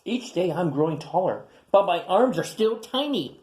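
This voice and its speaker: A man voicing a small, earnest young male dinosaur in a children's storybook read-aloud, speaking slowly and carefully. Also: high-pitched, very animated, a little mellow, voice pushed up.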